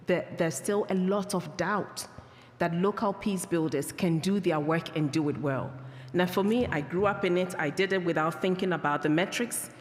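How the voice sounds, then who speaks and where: Ghanaian woman speaker, lowish voice, earnest and reflective, church hall reverb. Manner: earnest, reflective, with halting restarts. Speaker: peacebuilding speaker. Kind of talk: talk with Q&A answers.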